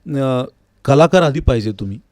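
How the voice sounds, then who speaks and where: Male interview guest, music teacher. Mid-pitched, earnest and explanatory, conversational, recorded dry in a studio. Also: expressive, normal speed, darkish tone, dry recording. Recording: dry, close studio sound.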